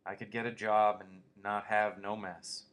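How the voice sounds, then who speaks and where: A man reading as a weary, gruff laborer. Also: on the low side, normal speed, close to an everyday voice. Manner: flat, weary. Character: weary tough itinerant worker, man, gruff.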